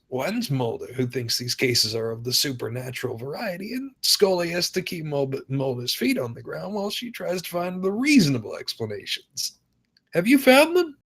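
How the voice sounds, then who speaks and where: A man's voice: on the high side, sing-song, normal speed, gravelly, fairly bright tone, slightly rough, exaggerated voice. An actor playing a giddy, affectionate, playfully flirtatious father in a film script table read. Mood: playful, teasing. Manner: playful, teasing.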